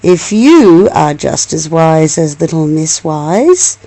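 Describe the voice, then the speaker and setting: A woman reads a children's bedtime story at an unhurried pace, her pitch moving up and down freely. She is expressive and affectionate, slipping between narration and gentle, coaxing asides to her child.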